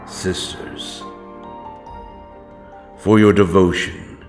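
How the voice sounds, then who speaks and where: A man playing a grim, righteous wandering avenger in a dark fantasy audio drama, speaking in a solemn, archaic and deliberate way. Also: low voice, flat delivery, music in the background. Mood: commanding, vengeful.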